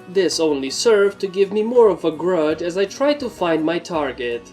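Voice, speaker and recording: A woman's voice: low-pitched, darkish tone. A woman narrating a scripted story in the first person. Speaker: fan fiction narrator.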